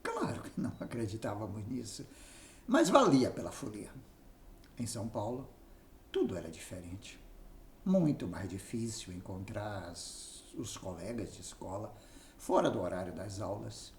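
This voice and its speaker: A man with a Brazilian accent narrating a written memoir aloud in an expressive reading style. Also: on the low side, unhurried. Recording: roomy echo, faint background noise.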